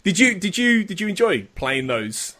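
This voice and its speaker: A man hosting a podcast interview, eager and quick to laugh, often restarting his sentences. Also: sits high, very animated, pushed and bright. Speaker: podcast host.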